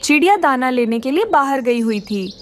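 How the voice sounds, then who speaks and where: A woman's voice: high-pitched, lively intonation, normal speed. A woman narrating a scripted children's cartoon story.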